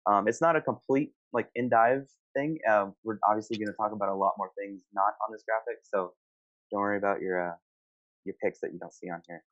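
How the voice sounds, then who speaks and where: A man with a lowish voice moderates a gaming discussion in an informal, affirming way. He asks his guests questions casually. The audio is somewhat dull and lo-fi, like an online voice call. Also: brisk, fairly bright tone. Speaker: host of a gaming discussion panel.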